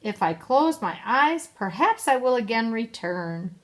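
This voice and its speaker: A woman's voice: fairly high pitch, big pitch swings, a little slow, voice pushed up. A woman voicing a grumpy, wistful old man in a storybook, speaking as if half asleep. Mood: dreamy. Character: grumpy, dreamy old man roused from sleep.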